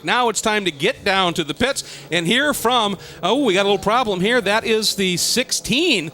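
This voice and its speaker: A man's voice: high-pitched, pushed and bright. A man calling a sports car race as the play-by-play commentator.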